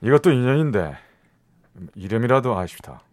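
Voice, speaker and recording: An actor playing a forward, friendly young suitor in a radio drama, chatty and eager, with a Busan Gyeongsang accent. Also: male voice, medium pitch, very animated, a little fast, darkish tone, no room sound, clean studio sound, close to an everyday voice. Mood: friendly, teasing.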